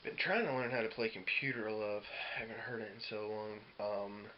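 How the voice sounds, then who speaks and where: A man's voice: on the low side, fairly even intonation, moderate pace. A man giving a casual, hesitant how-to demo as a musician, explaining things informally and loosely. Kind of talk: casual instructional demo talk. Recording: dull lo-fi audio with clear hiss.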